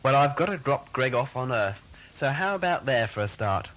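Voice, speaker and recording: A man playing a flippant, decisive time-travelling doctor in an audio drama, with a brisk theatrical delivery. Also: mid-range voice, animated, fairly bright tone, lightly acted, poor audio. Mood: matter-of-fact. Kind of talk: fan audio drama acting. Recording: music over old cassette recording.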